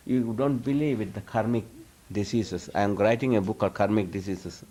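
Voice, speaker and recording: Low-voiced man giving a rambling lecture. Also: a little fast, mellow. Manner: chuckles often, rambling with frequent restarts. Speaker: spiritual teacher answering audience questions. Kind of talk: informal lecture Q&A. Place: live talk before an audience.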